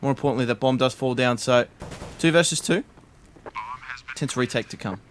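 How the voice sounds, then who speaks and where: A man casting an esports match live, steady play-by-play with frequent fillers. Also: mid-range voice, moderate pace, a little hiss or hum. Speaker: esports caster.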